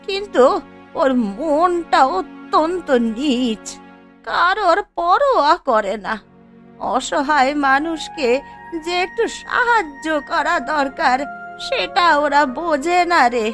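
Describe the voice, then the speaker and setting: A weary old woman of the road, acted by a woman for a cartoon folk tale. She draws her words out slowly and plaintively, with a lot of rise and fall, over soft background music. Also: very high-pitched, big pitch swings, exaggerated voice. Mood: sorrowful. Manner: slow, drawn-out, plaintive pleading. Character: weary, pleading, thirsty old wandering woman. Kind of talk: Bengali moral-story cartoon acting.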